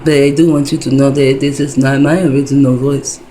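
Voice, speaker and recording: A woman's voice: very deep voice, flat delivery, a little fast, mellow, slight background noise, poor audio. A woman in her fifties talking in a rambling casual monologue, wandering between thoughts, full of fillers and profanity. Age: 50s.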